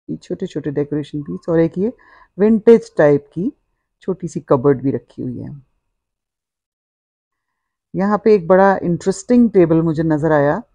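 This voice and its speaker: A woman's voice: deep voice, dark tone, no room sound. A middle-aged woman, narrating a walk-through vlog in a descriptive, explanatory way. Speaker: vlogger.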